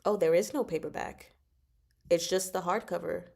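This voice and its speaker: Female livestream host, slightly lower register, informal and playful, rambling through her thoughts while talking with viewers. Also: fairly even intonation, moderate pace, gravelly, darkish tone. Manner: rambling, thinking aloud, playful. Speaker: host of a book discussion livestream. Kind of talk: casual chat, answering viewer comments.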